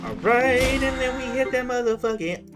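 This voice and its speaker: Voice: medium pitch, moderate pace. A young adult streamer giving casual gameplay commentary, rambling in a slangy, profane way. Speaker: game streamer. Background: game music.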